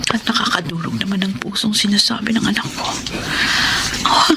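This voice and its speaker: A woman playing a tender, wounded mother in a radio drama, speaking softly and hesitantly. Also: medium pitch, steady tone, brisk, noisy background, close to an everyday voice. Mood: sorrowful. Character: wounded, tender mother hiding marital sorrow from child. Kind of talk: Filipino radio drama acting.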